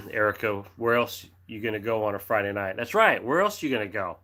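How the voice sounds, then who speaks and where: A middle-aged American man hosting a casual livestream, reading out viewer comments in a friendly, rambling way with restarts and throat clearing, his throat dry and a cough drop in his mouth. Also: fairly low pitch, lively intonation, fairly quick, bright, forceful tone. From United States. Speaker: livestream host reading chat. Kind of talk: casual live chat.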